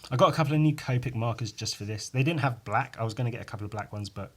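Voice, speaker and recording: A man with a croaky voice rambling casually on his own as a podcast host, informal and sweary, poking fun at himself and tacking questions onto his remarks. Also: medium pitch, rapid-fire, fairly bright tone. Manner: sweary, informal, self-deprecating, with tag questions. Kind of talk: casual rambling solo chat.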